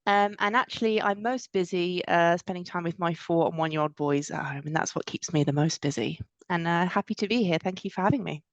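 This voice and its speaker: Woman, 30s or 40s, lowish pitch, casual, panelist, dry, dull video-call sound. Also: brisk, no room sound. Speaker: sales director on a panel. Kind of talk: casual conversational webinar talk.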